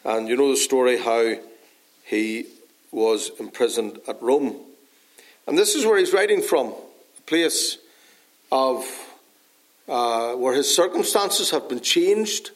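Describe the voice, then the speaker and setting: Northern Irish pastor preaching earnestly. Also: male voice, medium pitch, animated. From Northern Ireland. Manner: unhurried, earnest preaching with frequent fillers. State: dry cough. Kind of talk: sermon.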